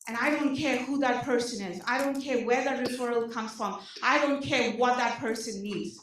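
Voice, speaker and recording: A woman with an Indian accent gives an animated talk to a room of service providers, full of rhetorical questions and frequent "okay?" check-ins. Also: a little high, normal speed, slight background noise. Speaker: nonprofit program presenter. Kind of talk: energetic public talk to an audience. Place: large meeting room. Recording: echoey hall, speaker far from mic.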